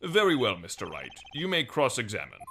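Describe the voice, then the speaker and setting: Man playing an animated, formal courtroom judge. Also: mid-range voice, fairly quick, a little hiss or hum, heavily acted. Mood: deliberating. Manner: formal, commanding. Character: male courtroom judge, stern but easily puzzled. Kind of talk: fan-dubbed courtroom game voice acting.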